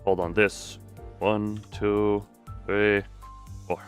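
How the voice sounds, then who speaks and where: A man's voice: lowish voice, flat delivery, extremely slow, faint music behind. A man doing a casual, rambling game stream, playfully joking and talking back to his viewers.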